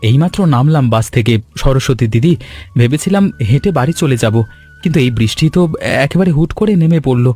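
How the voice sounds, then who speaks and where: A man voicing a practical young married son in a cartoon folk tale, brisk in manner. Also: mid-range voice, animated, mellow, soft background music, lightly acted. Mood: brisk, concerned. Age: young adult.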